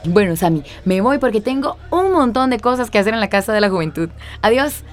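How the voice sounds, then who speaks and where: A woman's voice: on the high side, animated, soft background music, natural voice. An actress playing a helpful young woman from a youth center, with a Costa Rican accent, in a radio drama. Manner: brisk, helpful.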